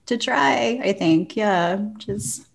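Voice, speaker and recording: A woman's voice: medium pitch, takes it slowly, creaky. A woman co-hosting a podcast, chatting informally and giving her opinions in a casual panel discussion. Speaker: library podcast co-host.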